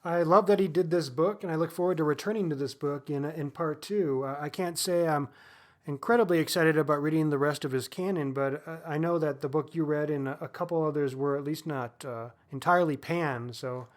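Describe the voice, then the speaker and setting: A man's voice: on the high side, fairly quick. A man co-hosting a podcast, discussing books casually with an informal, wry manner. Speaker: podcast co-host. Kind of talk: casual book discussion and announcements.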